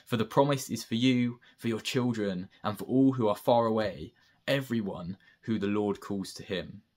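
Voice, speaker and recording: Low-voiced young man reading scripture. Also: normal speed, darkish tone. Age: young adult. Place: bright room at home by a window.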